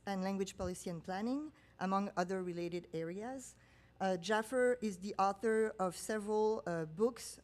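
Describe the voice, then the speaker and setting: A woman introducing the chair at an academic conference, reading his biography with small pauses. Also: mid-range voice, darkish tone. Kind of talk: formal speaker introduction. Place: conference room.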